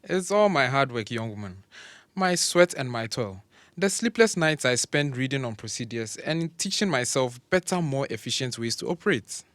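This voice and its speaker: An actor playing an arrogant older surgeon professor in an audio drama, grandiose and condescending. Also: male voice, medium pitch, animated, moderate pace, fairly bright tone, dry recording, lightly acted. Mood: boastful, scornful.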